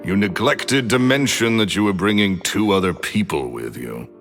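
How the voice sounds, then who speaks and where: An actor playing a short-tempered retired magician who talks in curt, blunt commands. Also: male voice, fairly low pitch, expressive, normal speed, fairly bright tone. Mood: impatient. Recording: reverb with game music.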